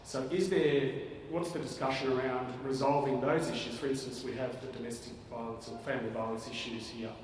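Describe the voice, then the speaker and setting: A man's voice: mid-range voice, fairly even intonation, a little fast, hardly any pauses. Man asking hesitantly from a hall. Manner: hesitant, thinking aloud. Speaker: audience member asking a question. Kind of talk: audience question at a public talk. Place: large lecture hall. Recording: distant echoey hall with noise.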